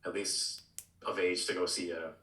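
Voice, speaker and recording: A man co-hosting a casual discussion in a halting way, repeating words as he thinks. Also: deep voice, level tone, pushed and bright. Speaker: podcast co-host. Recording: roomy echo, distant from microphone.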